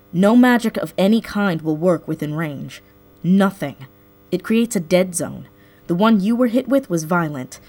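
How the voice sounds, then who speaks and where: Actress as wry female team techie, audio drama, lowish pitch, plain delivery. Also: lightly acted. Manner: wry, matter-of-fact. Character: wry, sharp female tech specialist on a covert team. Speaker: voice actor in a fiction podcast. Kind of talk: scripted audio drama acting.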